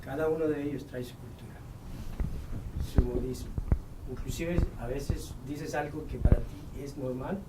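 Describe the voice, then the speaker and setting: A man adding a cautionary point on a church conference panel, in a measured way. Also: mid-range voice, steady tone. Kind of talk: panel discussion. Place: conference room. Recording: distant roomy mic with loud noise.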